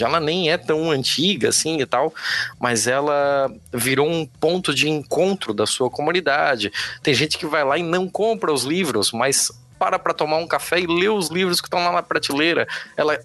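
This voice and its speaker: A man from Brazil co-hosting a casual podcast, telling a news story in a joking, relaxed way. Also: on the high side. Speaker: podcast co-host. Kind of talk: casual chat.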